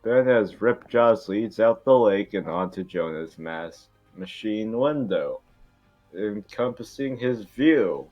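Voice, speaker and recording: Low-voiced man, muffled, reading over music. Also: lively intonation, slow pace. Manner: irreverent, swearing ad-libs. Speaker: stage-direction reader at an amateur table read. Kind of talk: casual, joking script narration.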